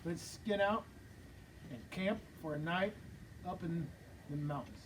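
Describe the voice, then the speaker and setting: A man's voice: a little high, unhurried, far from the mic, slight background noise, lightly acted, poor audio. A man reading a brash outlaw boss. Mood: scheming. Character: ruthless male outlaw gang leader. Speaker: table-read actor. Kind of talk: script table reading.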